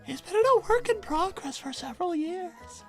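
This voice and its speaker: Exasperated cartoon mom played by a woman, very high, animated pitch, firm and sardonic, livestream with faint music underneath. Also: normal speed. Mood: defensive. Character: Davina, stern no-nonsense exasperated mother collecting money. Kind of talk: improvised character livestream.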